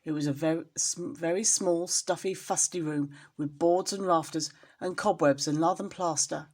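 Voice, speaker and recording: A woman storyteller reading expressively to young children, in an engaging, animated manner. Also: low voice, normal speed. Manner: animated, addresses young listeners with questions.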